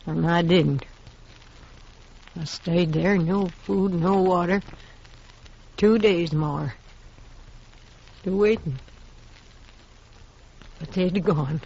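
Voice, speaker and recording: A frontier widow, stubborn and worn by grief. She speaks very slowly and haltingly in a husky voice, on a muffled old radio western recording. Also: on the low side, a little mellow, heavily acted. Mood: grieving, haunted. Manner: halting, plain rural speech. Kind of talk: 1950s radio western acting.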